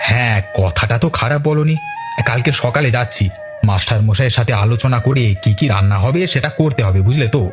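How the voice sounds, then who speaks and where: Fast-talking obliging village husband over music man acting. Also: mid-range voice, lightly acted. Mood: planning. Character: simple, obliging village husband who sells pumpkins. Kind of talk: cartoon voice acting.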